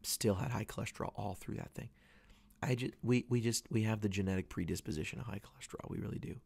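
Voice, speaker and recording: A man's voice: fairly low pitch, fairly even intonation, fast talker, vocal fry, darkish tone, breathy edge, no room sound. A man in his thirties hosting a casual solo podcast, talking in a rambling, earnest way with many restarts while he is losing his voice. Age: 30s. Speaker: podcast host. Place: away from home, dry small space.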